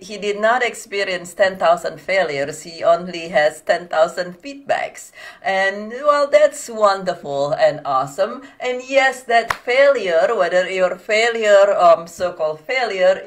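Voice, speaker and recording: A woman in her forties with an Indonesian accent, talking to camera in a casual, animated vlog from a small home office. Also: fairly low pitch. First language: not English. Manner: animated, laughing, gesturing with hands. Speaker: motivational vlogger.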